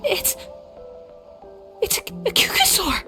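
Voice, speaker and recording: A woman voicing an earnest young aspiring warrior with fragile confidence in a video game, breathy and emotional. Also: slow, bright, forceful tone, soft background music. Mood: tearful joy.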